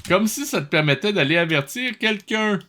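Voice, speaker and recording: Bright-voiced Québécois man, exasperated commentator. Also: high voice, moderate pace, slight background noise. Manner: animated, incredulous, colloquial. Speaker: host of a reaction show. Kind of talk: casual exasperated running commentary.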